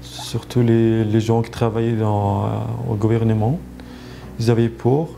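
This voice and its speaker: A man in his thirties from Afghanistan speaking quiet, hesitant in a documentary interview. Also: on the low side, fairly even intonation, unhurried, creaky, slight background noise. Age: 30s. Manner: quiet, hesitant non-native speech.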